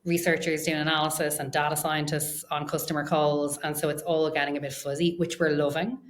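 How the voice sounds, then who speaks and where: Low-pitched woman explaining in an interview. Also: flat delivery, brisk. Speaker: interview guest, research and data science executive.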